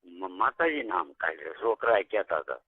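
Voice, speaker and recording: A man's voice: deep voice, big pitch swings, normal speed, husky, dry recording. A man chatting casually and privately on a phone call. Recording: muffled tinny phone call recording.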